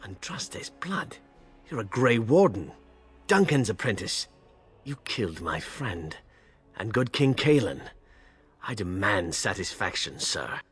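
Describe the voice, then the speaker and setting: A man's voice: lowish voice, big pitch swings, slow, fairly bright tone, breathy edge, soft background music, exaggerated voice. An actor playing a proud, aggrieved male knight in a fantasy game, speaking formally. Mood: accusing. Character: aggrieved knight demanding satisfaction, proud and easily confused.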